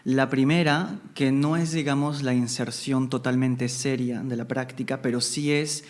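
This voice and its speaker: A man from Italy sits in as a podcast guest, talking in a measured, reflective way. He pauses to find his words and breaks into laughter often. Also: mid-range voice, steady tone. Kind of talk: casual conversation.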